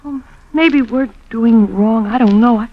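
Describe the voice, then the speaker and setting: A woman's voice: a little high, unhurried. An actress playing a devoted, anxious young American girlfriend in a 1950s radio drama, emotional and pleading. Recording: dull old radio recording with music.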